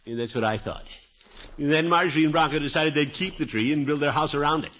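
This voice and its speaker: An American actor playing a blustery, easily flustered middle-aged uncle in a theatrical 1950s radio comedy style, with drawn-out exclamations. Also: male voice, a little high, fairly bright tone, lightly acted. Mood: worried. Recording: dull, noisy 1950s radio recording.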